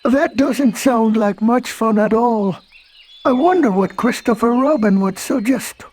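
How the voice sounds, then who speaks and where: High, husky, innocent bear. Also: male voice, a little slow, a little mellow. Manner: mild, innocent, musing. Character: gentle, simple, hungry male teddy bear. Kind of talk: children's storybook read-aloud.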